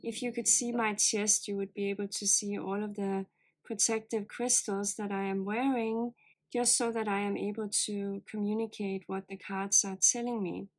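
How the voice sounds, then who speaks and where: Soft-spoken woman with a low, hoarse voice. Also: rough recording. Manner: calm, earnest, gently encouraging. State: voice low and a bit hoarse, as with a cold. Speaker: oracle card reader. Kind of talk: spiritual card reading to viewers.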